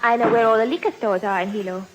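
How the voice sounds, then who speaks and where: An actress playing an alluring, romantic and quietly scheming young island woman in a 1950s radio drama. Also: a little high, fairly even intonation, fast, dull audio, noisy background, lightly acted. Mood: affectionate.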